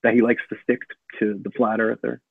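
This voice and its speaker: A man's voice: on the low side, little pitch movement, brisk, creaky. A male host chatting loosely on a livestream, rambling and joking. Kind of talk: casual livestream chat. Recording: tinny, dry phone line.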